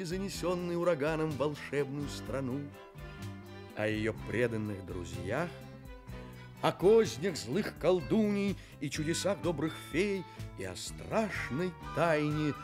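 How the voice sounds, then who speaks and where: A man's voice: medium pitch, natural voice. An actor playing a courteous, mysterious storyteller in a children's radio play, theatrically addressing his listeners. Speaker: narrator, storyteller host. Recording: studio radio play with music.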